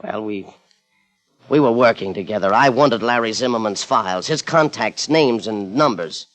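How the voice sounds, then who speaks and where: An actor playing a guarded American crook under suspicion, defensive and self-justifying, in 1950s radio crime drama style. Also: male voice, on the low side, moderate pace, a bit bright, faint music behind, lightly acted. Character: guarded male crook, smooth then defensive suspect.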